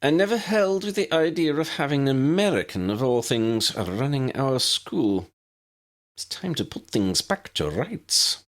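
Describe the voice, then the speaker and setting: A man voicing a stern, sharp older headmistress in an audiobook, formal, clipped and scolding. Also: medium pitch, very animated, a little slow, pitched above the performer's own voice. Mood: disapproving.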